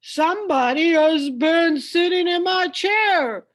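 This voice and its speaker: A woman voicing a great big indignant male bear in a storybook, with a big booming voice. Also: animated, slow, exaggerated voice. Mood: loud indignation. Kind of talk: storybook character voice.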